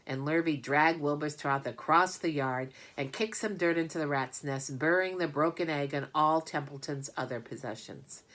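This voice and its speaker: A woman narrating a children's book in an expressive read-aloud manner. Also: sits low, moderate pace, few pauses. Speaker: storybook narrator.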